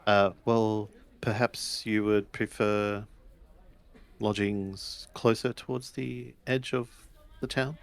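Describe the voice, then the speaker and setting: A man playing a polite, measured traveller in a samurai-era tabletop roleplaying game, speaking formally and tentatively. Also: lowish voice, flat delivery, gravelly.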